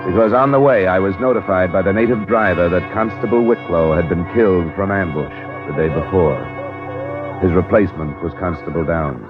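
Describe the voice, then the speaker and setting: A man's voice: low-pitched, a little fast, natural voice. An actor playing a terse male insurance investigator who narrates his own case in a 1950s radio drama. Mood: grim. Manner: terse, matter-of-fact, probing. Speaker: lead detective and narrator. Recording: lo-fi old radio broadcast with music.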